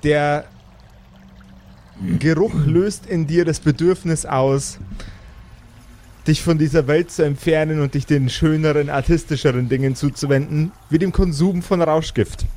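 A man narrating an improvised fantasy tabletop game as game master, with deliberate, theatrical phrasing and pauses, over faint noise. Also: fairly high pitch, warm tone.

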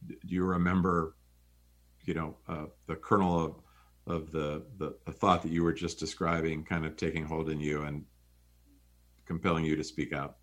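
A man interviewing a guest, asking measured questions and searching for his words. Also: a bass voice, little pitch movement.

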